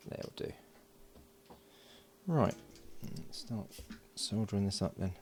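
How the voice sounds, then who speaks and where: A man giving relaxed, matter-of-fact running commentary as he solders at a home workbench, recorded by an overhead camera. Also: lowish voice, slow, a little hiss or hum. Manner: mutters along while working, relaxed and matter-of-fact. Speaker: electronics hobbyist. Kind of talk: casual build commentary.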